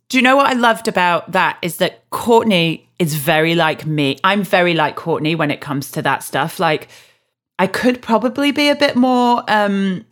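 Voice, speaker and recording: A woman's voice: mid-range voice, a bit bright. A woman co-hosting a podcast, chatting frankly and mocking herself with easy humor. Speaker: podcast co-host. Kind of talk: casual chat.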